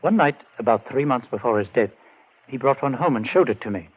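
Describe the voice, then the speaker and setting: An actor playing a grieving brother set on finding a murderer, earnest and urgent in 1940s radio drama style. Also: male voice, medium pitch, expressive, fairly quick, breathy edge. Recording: tinny old radio broadcast.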